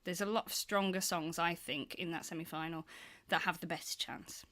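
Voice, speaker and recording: Bright-toned woman, bubbly panelist, chatty. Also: fairly low pitch, steady tone, normal speed. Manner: bubbly, apologetic, laughing. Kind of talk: casual panel chat.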